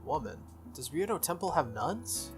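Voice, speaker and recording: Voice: medium pitch, sing-song, normal speed, soft background music, lightly acted. Easygoing, curious teenage schoolboy hero, read by a streamer. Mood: curious, puzzled.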